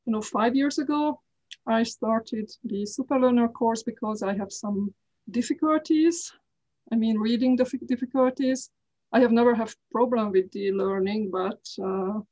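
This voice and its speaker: A middle-aged woman with a Hungarian accent and a somewhat high voice. She answers interview questions in careful English, pausing and restarting as she searches for words. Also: vocal fry, mellow, dull audio. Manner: careful, halting, frequent restarts. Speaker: guest. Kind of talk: casual interview talk.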